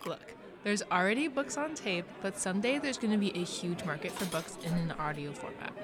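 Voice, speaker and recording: A woman's voice: medium pitch, expressive, brisk, a crowd in the background, close to an everyday voice. A woman playing a friendly, easygoing teenage girl with a casual manner in a fiction podcast. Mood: confident. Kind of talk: audio fiction podcast acting.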